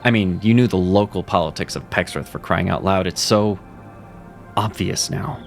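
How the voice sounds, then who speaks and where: A man's voice: deep voice, moderate pace, vocal fry. A man voicing Seraphin, a wry cat mentor. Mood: steady. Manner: steady, reassuring, self-critical. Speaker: voice actor. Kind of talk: audio drama acting. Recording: music underneath.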